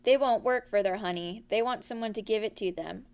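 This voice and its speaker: A woman voicing a bossy gopher from a storybook, brisk and chiding as he hands out advice. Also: mid-range voice, darkish tone, natural voice. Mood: scolding, brisk. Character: bossy, scolding male gopher full of advice.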